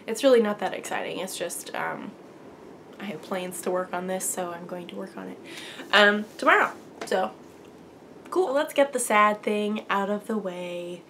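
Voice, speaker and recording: A woman's voice: medium pitch, a bit bright, a little hiss or hum. Congested young woman chatting. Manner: chatty, upbeat storytelling. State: congested from allergies. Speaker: podcast host. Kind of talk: casual crafting chat to camera.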